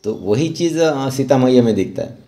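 A man narrating a step-by-step art tutorial as an instructor, often pausing mid-sentence. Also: medium pitch, lively intonation, warm tone.

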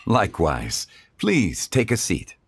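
A man playing a composed, courteous, refined gentleman in a video game, speaking formally and eloquently. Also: on the low side, huge pitch swings, slow, slight background noise, exaggerated voice. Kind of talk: video game cutscene acting.

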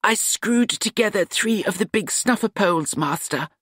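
A man's voice: sits high, lively intonation, moderate pace, fairly bright tone, slightly rough, no room sound, studio quality, pitched above the performer's own voice, exaggerated voice. A man voicing a meek, cheerful young servant in an audiobook, deferential and earnest.

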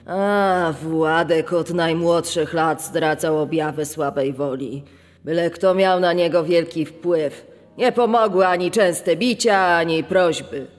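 A man playing a resigned parent giving measured testimony about criminal sons in a true-crime podcast. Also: on the high side. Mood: sombre resignation. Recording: long reverb on the voice.

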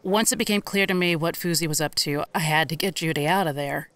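A woman's voice: low-pitched, brisk, fairly bright tone. A woman playing an earnest, worried protector in an audio drama.

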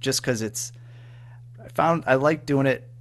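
A man speaking as an interview guest in casual chat, hesitant, halting and self-effacing as he talks about his own work. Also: medium pitch. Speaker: guest, audio drama writer and producer.

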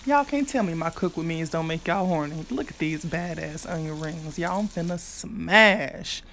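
A woman's voice: low-pitched, moderate pace, fairly bright tone, a little hiss or hum. Brash, foul-mouthed young woman cooking at home. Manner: brash, profane, chatty, speaks straight to viewers. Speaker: cooking vlogger. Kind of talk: casual cooking walkthrough. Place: home kitchen.

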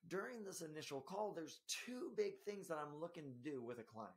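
Man, 30s, solo podcast host, slightly scratchy, higher-set voice, animated office delivery. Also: brisk. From Spokane area, US. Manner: energetic, gestures a lot, frequent tag "right?". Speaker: podcast host and health coach. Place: small office in front of a banner.

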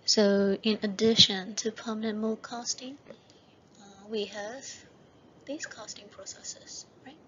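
A woman lecturing over slides for a university class, often checking in with "right?" and slowing down to read slide headings. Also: mid-range voice, fairly even intonation. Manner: repeats "right?" and "okay?" as checks. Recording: dull lo-fi voice-over with faint hiss.

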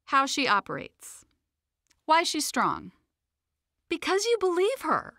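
A woman's voice: sits high, huge pitch swings, slow, bright, forceful tone, no room sound, studio quality. A woman reading her own self-help audiobook in a conversational, emphatic and playfully informal way.

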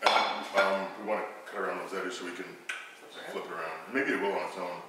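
A man's voice: low-pitched, fairly even intonation, a little fast, very noisy. A man in his thirties, an upbeat and easygoing bodybuilder vlogger, showing a cooking demo in a large home kitchen. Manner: upbeat, easygoing, laughs often. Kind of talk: casual vlog and cooking demo. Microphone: camera mic from a distance. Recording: echoey room, voice from far off.

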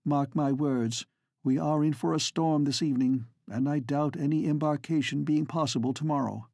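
A man's voice: on the high side, normal speed, creaky, warm tone, no echo, studio quality. A man reading the part of an earnest, proud but tender lady in a novel, speaking in a pleading way. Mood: calm.

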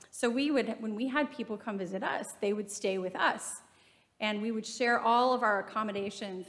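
Woman preaching in a reverberant church. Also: medium pitch. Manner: conversational storytelling from the pulpit, gesturing. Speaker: pastor. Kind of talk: sermon. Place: church sanctuary. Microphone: pulpit microphone. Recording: long reverb from a church sanctuary.

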